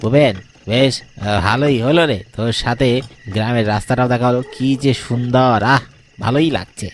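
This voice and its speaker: A man's voice: mid-range voice, normal speed, slight background noise, lightly acted. A man playing a friendly, easygoing village man in a cartoon, speaking casually and familiarly. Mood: contented. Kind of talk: cartoon dialogue.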